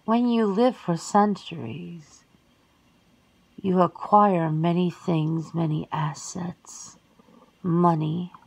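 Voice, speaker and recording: A woman voicing a centuries-old vampire sister in an ASMR roleplay, cool and worldly, measured and often slipping into whispers. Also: fairly low pitch, steady tone, a little mellow, full character voice, rough recording. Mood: cool, weary. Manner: measured, breathy, dropping into whispers.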